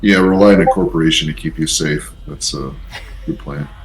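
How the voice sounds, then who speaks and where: A man playing a tabletop role-playing game, speaking casually in a measured, deliberate way. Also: extremely low pitch. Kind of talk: casual in-character tabletop play.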